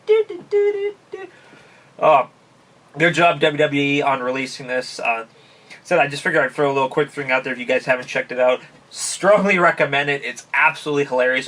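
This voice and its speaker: A man's voice: mid-range voice, a bit bright. A man from Canada hosting a casual review vlog, chatty and laughing often, with lots of fillers. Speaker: YouTube channel host. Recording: voice somewhat distant from mic.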